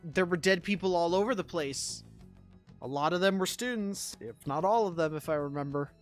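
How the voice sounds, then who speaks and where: A woman's voice: on the low side, normal speed. A woman game streamer giving casual, wry commentary and talking back to the game. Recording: faint game music behind voice.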